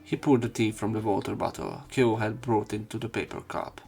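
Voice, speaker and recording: Male let's play host, low creaky voice, narrating visual novel text offhandedly. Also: steady tone, brisk, darkish tone, soft background music. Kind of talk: reading visual novel text aloud with commentary.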